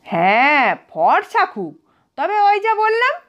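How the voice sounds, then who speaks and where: A woman's voice: extremely high pitch, huge pitch swings, takes it slowly, a bit bright, muffled recording, pitched above the performer's own voice, heavily acted. A woman voicing a pushy, fussy middle-aged mother in an audiobook, with an insistent, homely, scolding manner. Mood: dismissive. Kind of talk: audiobook character voice.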